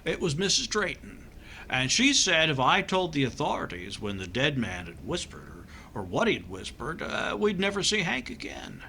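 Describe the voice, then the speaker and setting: A man acting out a nervous, hesitant doctor from a classic radio comedy. His voice is bright and swings widely as he stammers through dry, flustered lines. There is faint noise on the recording. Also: on the high side, huge pitch swings, normal speed, full character voice. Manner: hesitant, stammering, dryly comic. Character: nervous, dithering American doctor and husband in comic thriller.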